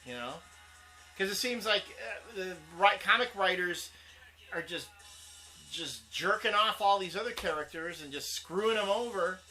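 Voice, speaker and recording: A man's voice: high voice, lively intonation, a little slow, pushed and bright, faint music behind, poor audio. A man co-hosting a casual comic book talk show, rambling and restarting as he defends his opinion. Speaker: co-host.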